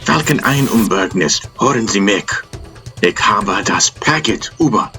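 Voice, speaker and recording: A man's voice: on the low side, lively intonation, measured pace, pushed and bright, soft background music, exaggerated voice. A man voicing a stranded soldier pilot in an audiobook, making urgent, clipped, repeated calls into a radio.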